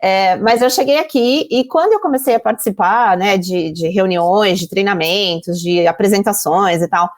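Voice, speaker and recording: A woman from Brazil with a Brazilian Portuguese accent, a podcast guest who tells her own story openly, pausing and restarting often as she thinks it through. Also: mid-range voice. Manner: candid, many fillers and restarts, self-questioning. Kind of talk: candid personal storytelling.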